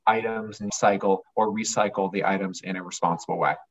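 A man's voice: deep voice, steady tone, a little fast, highs cut off, slight background noise. A man who runs a family business explaining his company earnestly in an interview. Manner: earnest, explanatory, occasional restarts. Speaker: business owner, interview guest. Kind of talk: conversational interview.

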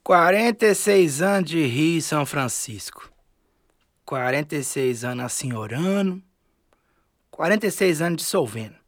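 A man's voice: on the high side, animated, unhurried, no room sound. A man acting in a Brazilian radio drama as a wistful, folksy middle-aged man lost to the river, with a Brazilian accent. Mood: mournful.

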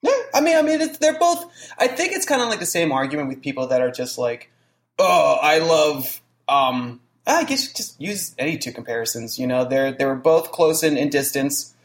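A young adult podcast host in casual chat, lively and full of "like", slipping into mocking quoted voices. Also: mid-range voice, moderate pace. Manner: animated, says "like" a lot, does mocking quoted voices. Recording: echoey room.

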